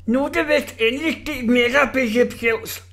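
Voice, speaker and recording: Reader putting on a hoarse, high-pitched, jittery circus man for a storybook; shift+. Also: fairly bright tone. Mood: mocking. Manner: whimpering, panicky.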